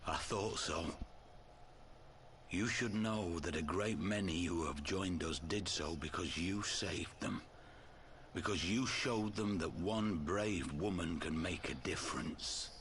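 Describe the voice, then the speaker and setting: An actor playing a gruff, solemn and fervent male resistance fighter in a video game cutscene. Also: sits low, fairly bright tone, slight background noise, heavily acted. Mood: solemn, grateful.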